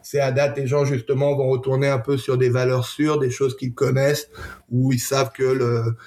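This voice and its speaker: Man with an even, halting delivery. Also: mid-range voice. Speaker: gin brand representative, interview guest. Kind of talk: conversational product presentation in an interview.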